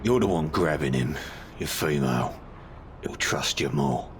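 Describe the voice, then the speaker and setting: A man playing a brutal, short-tempered London criminal in an audio drama, with a gravelly voice and a gruff, threatening manner. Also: a bass voice, unhurried. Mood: menacing.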